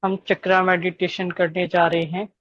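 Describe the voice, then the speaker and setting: A woman leading a guided meditation, giving gentle instructions with long pauses between phrases. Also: on the low side, flat delivery, no echo, muffled recording.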